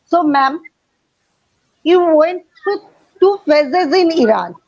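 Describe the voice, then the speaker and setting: A woman hosting an interview, introducing and questioning her guest in formal, halting phrasing. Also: high voice, animated, darkish tone, dull audio. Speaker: host.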